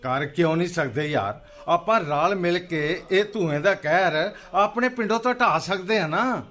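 A man's voice: high voice, animated, normal speed, fairly bright tone. An actor playing an earnest, determined village man in a radio play, speaking rustic colloquial Punjabi-accented speech. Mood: indignant, resolute. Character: grieving, determined village man urging action against smoke.

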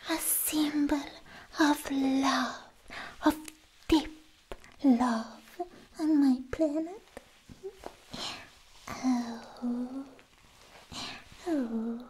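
A woman playing a clingy, lovestruck alien girlfriend in a soft-spoken ASMR roleplay, cooing in a breathy near-whisper close to the mic. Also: sits high, slight background noise, rough recording. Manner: cooing, drawn-out, doting. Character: clingy, lovestruck female alien girlfriend, sweet and slightly obsessed. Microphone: close sensitive microphone.

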